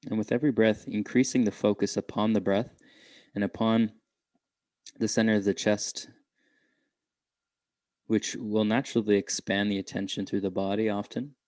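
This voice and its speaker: A man guiding a meditation in a gentle, unhurried way, leaving long pauses between short phrases. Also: lowish voice, level tone, dry recording. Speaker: meditation guide. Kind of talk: guided meditation.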